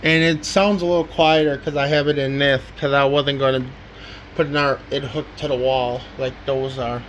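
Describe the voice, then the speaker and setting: A man giving a casual home video walkthrough as a hobbyist, haltingly, often trailing off and repeating words, recorded indoors on a handheld camera. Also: medium pitch. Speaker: hobbyist showing a weather radio collection. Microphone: handheld camera microphone. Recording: steady background noise, handheld home camera.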